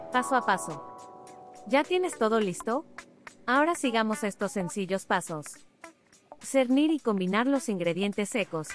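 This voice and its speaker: Upbeat woman narrating over music. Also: a little high. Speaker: recipe narrator. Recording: clear studio voice-over over music.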